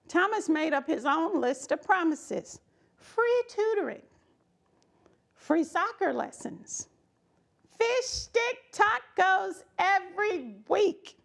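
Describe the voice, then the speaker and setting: High, animated woman reading a story. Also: vocal fry. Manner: expressive, emphatic, dramatic pauses. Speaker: storyteller reading a children's book aloud.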